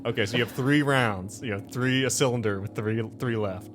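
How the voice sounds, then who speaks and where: A man narrating a tabletop game as game master, informal and halting, leaning on filler phrases. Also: mid-range voice. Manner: informal, halting, full of "like" and "you know". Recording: music playing behind the voice.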